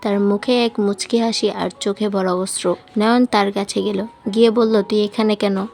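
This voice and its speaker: A woman's voice: medium pitch, steady tone, moderate pace, creaky, darkish tone, a little hiss or hum. A woman reading a scripted romantic story as a voice-over storyteller. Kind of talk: scripted romantic story narration with acted dialogue. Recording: music behind the voice. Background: background music.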